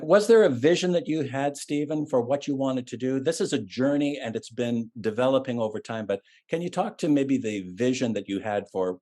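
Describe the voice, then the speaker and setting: A man's voice: mid-range voice, a little mellow. A man hosting an online ministry event, introducing and interviewing a guest in a friendly, easygoing way. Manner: friendly, easygoing, with frequent "uh" fillers.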